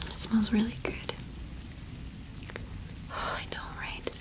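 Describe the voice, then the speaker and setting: A young woman doing an ASMR shopkeeper roleplay in a gentle, unhurried whisper with pauses, close to the microphone. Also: rough recording. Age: young adult. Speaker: ASMR performer playing a cottage witch shopkeeper.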